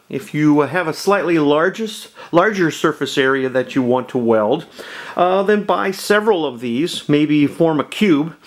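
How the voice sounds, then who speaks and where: A man hosting a DIY video, explaining a cheap welding setup at his workshop bench in a halting, restart-filled way. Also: a little high. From Southern California. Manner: halting, many ums and uhs, restarts. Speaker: DIY channel host. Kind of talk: casual how-to demonstration. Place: home workshop, at a workbench.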